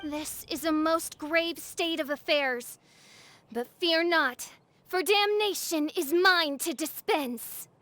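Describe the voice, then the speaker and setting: High-voiced, pompous gothic princess. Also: female voice, slow, bright, forceful tone, dry recording, full character voice. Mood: ominous. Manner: pompous, archaic, melodramatic diction. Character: grandiose theatrical teenage princess of doom. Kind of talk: theatrical video game voice acting. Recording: music behind the voice.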